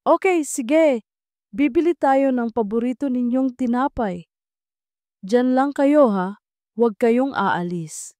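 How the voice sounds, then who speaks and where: A woman's voice: on the high side, slow pace, pauses often, mellow, no room sound, voice pushed up. A woman voicing a struggling young mother in a story, speaking politely and tenderly. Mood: gentle, reassuring.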